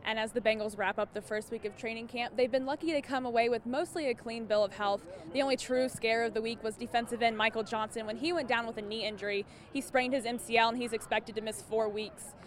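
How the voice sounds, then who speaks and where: Higher-pitched woman sports anchor. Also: fairly even intonation, quick pace, hardly any pauses, soft background music. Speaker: television sports reporter. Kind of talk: scripted sports news narration.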